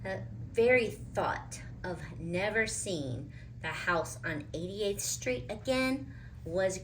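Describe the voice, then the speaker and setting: A woman's voice: fairly low pitch, fairly bright tone, a little hiss or hum, poor audio. A woman reading a children's picture book aloud as a storyteller, expressively and with dramatic emphasis.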